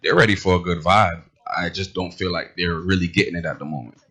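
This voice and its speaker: Deep low voice, boston dj guy chatting easy about his career, relaxed and plain. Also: fast talker, husky. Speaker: guest DJ. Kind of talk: casual interview answers.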